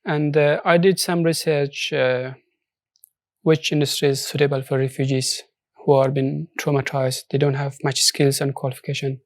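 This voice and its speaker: A man from Afghanistan, Persian his first language, talks through his story in an earnest, halting English that often stops and restarts. His voice sits at an ordinary middle pitch, and the studio sound is dry and close. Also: little pitch movement, no echo. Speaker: social enterprise founder. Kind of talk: studio interview.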